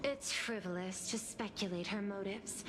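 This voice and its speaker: A woman playing a composed, formal administrator in a video game, speaking in a measured, analytical way. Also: medium pitch, steady tone, over music, lightly acted. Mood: grave, analytical. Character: composed, formal female administrator of a threatened homeworld. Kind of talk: scripted video game dialogue.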